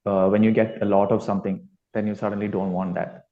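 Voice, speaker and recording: A man's voice: fairly low pitch, little pitch movement, quick pace. A man giving casual, tentative interview answers as a band musician, on slightly dull audio. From South India. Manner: tentative, thinking aloud.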